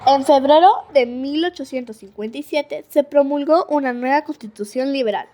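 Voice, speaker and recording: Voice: high voice, normal speed, mellow. A child narrator reading a scripted story for a school audio drama.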